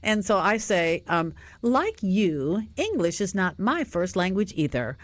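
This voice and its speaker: A middle-aged woman hosting a radio talk show, chatty and animated, telling stories with frequent laughs. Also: mid-range voice, a little slow, no echo. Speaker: radio host.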